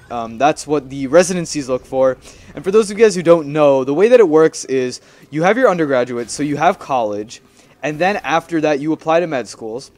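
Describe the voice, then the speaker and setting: A man's voice: on the high side, a little mellow. A young man giving casual, rambling gameplay commentary, chatty and playfully repeating his phrases. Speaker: gaming video host.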